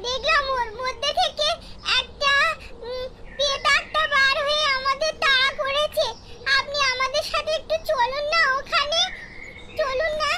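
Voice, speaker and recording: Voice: very high-pitched, steady tone, unhurried. A performer voicing a small, earnest, scared village child in a horror cartoon. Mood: pleading. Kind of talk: cartoon horror story acting. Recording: echoey, distant, loud background noise.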